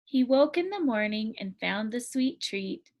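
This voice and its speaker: A woman teacher reading a picture book aloud to children in a clear storytime manner. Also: a little high, animated, unhurried, darkish tone.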